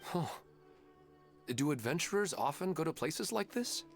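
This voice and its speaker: An actor playing a grieving widowed father in a game, weary, quiet and polite, with game music behind. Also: on the high side, sing-song, takes it slowly, gravelly, heavily acted. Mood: sad. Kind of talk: game character dialogue.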